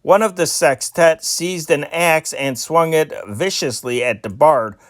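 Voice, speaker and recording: A man reading old newspaper stories aloud in a steady amateur style, with small slips and restarts. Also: fairly high pitch, a little slow, no echo, clean studio sound. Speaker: newspaper reader.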